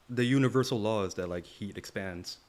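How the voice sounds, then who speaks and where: A man co-hosting a podcast in a casual chat, philosophizing in slangy, profane language and often checking for agreement. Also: lowish voice. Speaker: podcast co-host.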